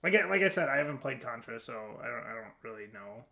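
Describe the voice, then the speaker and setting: A man's voice: medium pitch, lively intonation, fast, creaky, pushed and bright. A man hosting a podcast, chatting casually in an informal, blunt way. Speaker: podcast host. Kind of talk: casual chat.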